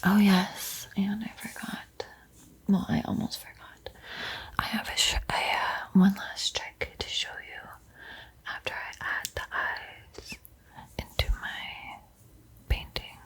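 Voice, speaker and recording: A woman whispers painting instructions in a soothing, encouraging ASMR style, with a breathy, airy voice. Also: medium pitch, flat delivery, takes it slowly. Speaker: art tutorial host.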